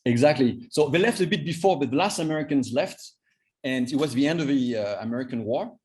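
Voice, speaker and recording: A man in his fifties with a French accent, a native Vietnamese speaker, giving an informal training talk as a coach, haltingly and repeating words for emphasis. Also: mid-range voice, lively intonation, darkish tone. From Vietnam and France. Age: 50s. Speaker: cross-cultural trainer and coach.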